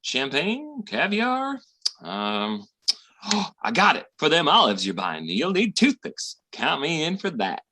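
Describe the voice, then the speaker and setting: Rough-voiced, wildly animated cheapskate boyfriend. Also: male voice, a little high, slow pace, heavily acted. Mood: cocky. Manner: slangy, hesitant bluffing. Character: brash, cheap, bluffing sweet-talking boyfriend Al. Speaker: amateur theatre performer. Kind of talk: 1940s radio sitcom acting.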